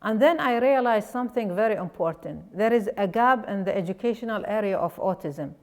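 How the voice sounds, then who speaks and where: Iraqi-accented woman, educator and life coach, earnest personal talk, halting phrasing. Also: medium pitch, lively intonation. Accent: Iraqi Arabic. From Iraq. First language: Arabic. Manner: earnest, pausing often with fillers and restarts.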